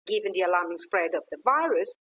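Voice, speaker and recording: A woman's voice: fairly low pitch, expressive, fast talker, a bit bright. A woman playing a challenging journalist in an audio drama, formal, pointed and insistent as she questions a politician. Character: firm, challenging female journalist interviewing a politician. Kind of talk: audio drama acting, news interview.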